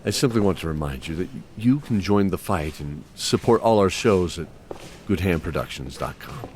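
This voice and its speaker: A male actor voices a courteous keeper of a fantasy castle in an audio drama. His voice is deep, genteel and a little anxious, confiding in his guests against faint background noise. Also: animated, normal speed, a little mellow. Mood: courteous, urging. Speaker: host. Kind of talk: in-character scripted announcement.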